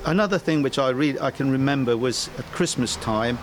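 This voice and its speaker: A man in his seventies from Guernsey, whose first language is Guernsey French, calmly recalling his school days. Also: mid-range voice, fairly quick, slight background noise. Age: 70s. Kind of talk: casual reminiscing.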